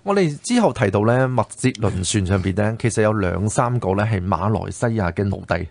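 Lively, fast-talking Hong Kong Cantonese man. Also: on the low side, gravelly, no room sound. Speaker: radio history show co-host. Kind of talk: conversational history discussion.

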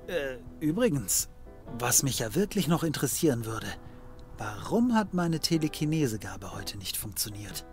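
An actor playing a sardonic, cheeky private detective in a comedy audio drama, flippant and casual. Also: male voice, mid-range voice, very animated, normal speed, darkish tone, soft background music, close to an everyday voice. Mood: placating.

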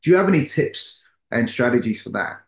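A middle-aged man hosting an interview, putting questions deliberately and repeating phrases for emphasis. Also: mid-range voice, animated, creaky. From United Kingdom, resident. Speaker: show host, interviewer. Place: small home office, indoors. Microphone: video call. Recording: roomy, echoing video-call audio.

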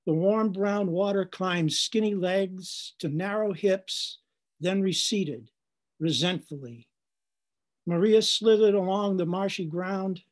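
A man giving a measured literary reading in short deliberate phrases at an online open mic. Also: high voice. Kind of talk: literary reading, descriptive narrative.

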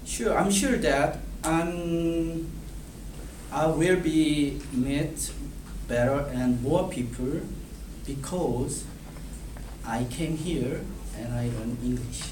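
A man in his thirties with a Korean accent, a native Korean speaker reading a prepared class speech, halting and restarting words. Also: a little high. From South Korea. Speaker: student giving a speech. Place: classroom in front of a whiteboard. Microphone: far camera. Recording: roomy, distant, noisy classroom audio.

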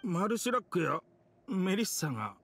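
An actor playing a rough-spoken, loyal young gentleman in a Japanese video game, blunt and emotive. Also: high voice, big pitch swings, normal speed, soft background music, full character voice. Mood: grieving shock. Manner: emotive, blunt casual speech.

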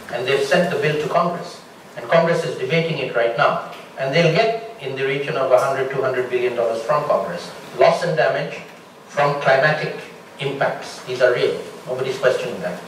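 A middle-aged man from Bangladesh answers questions as a panelist in a large hall, steady and explanatory. He sounds set back from the mic, with heavy room echo and a faint hiss underneath. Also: mid-range voice. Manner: measured, explanatory, builds points with examples. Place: large lecture hall with audience. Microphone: room mic from a distance. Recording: echoey hall from far off.

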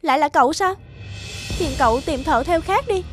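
A woman's voice: high-pitched, expressive, fairly quick. A woman voicing a proud, wronged young embroidery girl in a cartoon, curt and reproachful. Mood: cold, indignant. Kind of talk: cartoon voice acting. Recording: music behind the voice.

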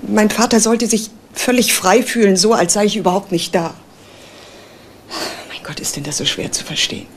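Behind a faint background hiss, an actress plays a professor's daughter in a crime radio play. Her medium-pitched voice is hesitant, insistent and pleading, anxious and on the defensive. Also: lively intonation, normal speed, close to an everyday voice. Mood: defensive, strained. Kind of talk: German crime radio drama acting.

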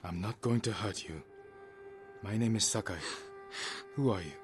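An actor playing an earnest, composed young samurai lord in a video game, speaking in a calm, measured, solemn way. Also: male voice, lowish voice, over music, close to an everyday voice. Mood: calm, firm. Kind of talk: dramatic video game acting.

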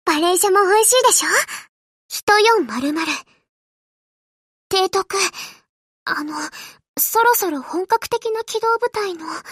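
A woman voicing a gentle, eager young ship girl in an anime game, sweet, polite and earnest, a little hesitant. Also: extremely high pitch, expressive, unhurried, long pauses, no room sound, studio quality, full character voice. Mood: hesitant, hopeful. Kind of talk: Japanese anime game character voice lines.

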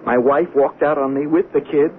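An actor playing a defensive, bitter businessman in a 1970s radio mystery, speaking in a halting, confessional way. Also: a little high, fairly even intonation, phone-quality sound, natural voice. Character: defensive, bitter businessman, adulterous train commuter. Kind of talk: 1970s radio drama acting. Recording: thin, faintly noisy 1970s radio broadcast.

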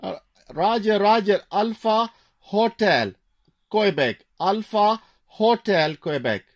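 A man's voice: sits high, expressive, slow. A man working as an amateur radio operator in a contact exchange, repeating phrases and call signs. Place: indoor radio desk.

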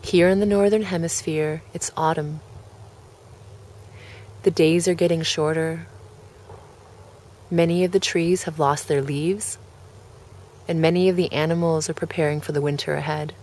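Low-pitched woman guiding a relaxation. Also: fairly even intonation, slight background noise. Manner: gentle, measured phrasing with pauses. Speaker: guided relaxation host. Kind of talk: guided relaxation, meditation narration.

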